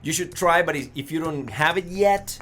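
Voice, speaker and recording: A man from Colombia hosting an interview show, asking quick, animated questions with frequent restarts. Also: a little high, a little hiss or hum. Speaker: show host. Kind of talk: casual interview chat.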